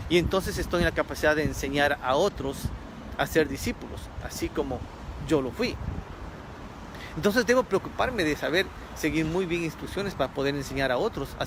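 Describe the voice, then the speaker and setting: Male preacher, from Ecuador, fairly high voice, earnest, car noise around. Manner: earnest, repeats key phrases for emphasis. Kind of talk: sermon.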